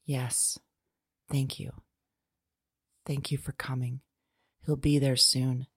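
Woman acting a low, halting, frightened lonely woman. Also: level tone, husky, no room sound, clean studio sound, heavily acted. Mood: relieved, tense. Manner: halting, hesitant, hushed, lapsing into whispers. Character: frightened lonely woman awaiting doom, resigned yet tender. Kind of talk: intimate audio drama monologue.

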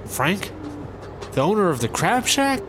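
A man's voice: high-pitched, big pitch swings, a little slow, over music. A man playing an anxious, earnest leader of misfit travelers in a sci-fi comedy audio drama, hesitant and pleading. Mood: worried, pleading.

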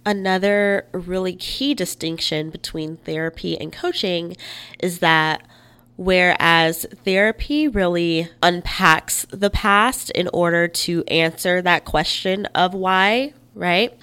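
A woman hosting a podcast in a chatty, informal, expressive way, sounding a little nasal and congested from a cold. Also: fairly low pitch, slow, clear, resonant tone. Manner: informal and expressive, often checks in with "right?". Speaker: podcast host and life coach. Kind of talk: chatty solo podcast talk.

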